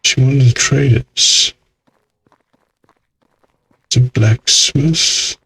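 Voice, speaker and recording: A man's voice: medium pitch, very slow, gravelly, sharp tone, slightly rough, low-quality recording. A man giving casual let's play commentary in a grumbling, exasperated, mildly sweary way. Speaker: gaming video host.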